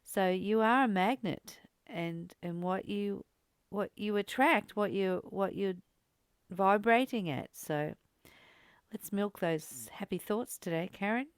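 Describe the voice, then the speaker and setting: A woman leads a reflective spiritual discussion in a smooth, clear voice at a middling pitch. She is encouraging and reassuring, talking things through in thoughtful phrases. The sound is dry and close. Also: slow, no echo. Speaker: study group host.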